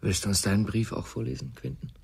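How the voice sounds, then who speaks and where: An actor playing an earnest, troubled man, raspy and halting, in a radio drama. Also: lowish voice, heavy background noise. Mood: gentle. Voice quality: raspy, gravelly. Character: earnest, troubled man reading a farewell letter aloud.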